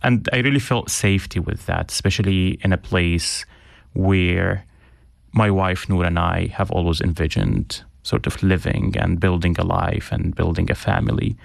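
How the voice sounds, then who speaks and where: Young man from Syria, very low voice, gravelly fry, earnest interview talk, dry close sound. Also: steady tone, moderate pace, dry recording. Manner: earnest, with frequent ums and restarts. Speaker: interview guest. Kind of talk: reflective interview conversation.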